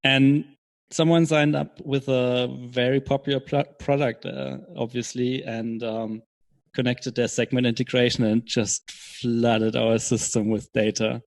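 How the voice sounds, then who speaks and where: A man answering as an interview guest in casual chat, explaining things in a measured, hesitant way. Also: medium pitch, little pitch movement, creaky, no room sound. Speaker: podcast guest, software startup co-founder.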